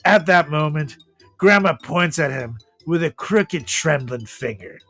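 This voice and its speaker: A man with a fairly high, very animated voice hosts a comedy podcast. He banters casually and reads comic stories aloud, in an echoey room with music faintly behind. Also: a little slow. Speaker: podcast host.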